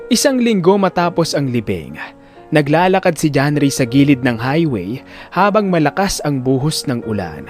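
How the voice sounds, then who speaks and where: Madamdaming lalaking tagapagsalaysay, pabago-bago ang tono. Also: male voice, fairly high pitch, big pitch swings, normal speed, soft background music. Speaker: narrator. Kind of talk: dramatic scripted story narration.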